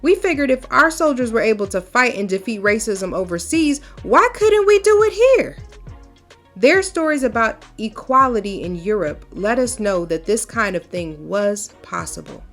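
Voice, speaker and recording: A woman's voice: on the high side, expressive, normal speed, a bit bright, soft background music. A woman reading a storybook as a proud, folksy mother who recounts wartime history in an emphatic, conversational first person. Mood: indignant, resolute. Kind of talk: storybook read-aloud, first-person narration.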